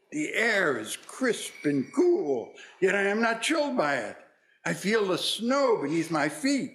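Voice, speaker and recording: A man playing an excitable, wistful old miser in a theatrical radio play, exclaiming and stammering when moved. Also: sits high, full character voice. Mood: awed delight.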